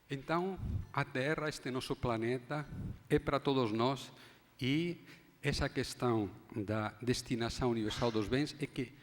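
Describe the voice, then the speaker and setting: A Spanish-born man with a Spanish accent, an academic speaker giving a measured, halting forum talk. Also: mid-range voice. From Spain. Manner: measured, halting, restarts phrases. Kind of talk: formal lecture talk. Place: conference hall. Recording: long hall reverb.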